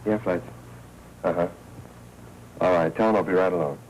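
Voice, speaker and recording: An American actor playing a wry, probing newspaper reporter in clipped 1940s film noir style. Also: male voice, low-pitched, fairly even intonation, normal speed, darkish tone, lightly acted. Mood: brisk. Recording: muffled, noisy 1940s film soundtrack.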